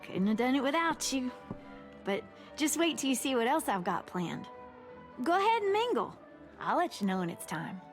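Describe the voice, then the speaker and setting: A woman's voice: sits high, very animated, normal speed, faint music behind. A woman playing a chirpy, bustling teenage party organizer in a video game, with an upbeat, folksy manner. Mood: busy, cheerful.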